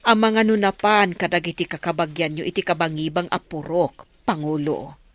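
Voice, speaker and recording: A woman playing a pious, forgiving mother in an Ilocano radio drama, pleading and moralizing gently. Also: on the low side, fairly even intonation, normal speed, no room sound, natural voice. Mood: calm.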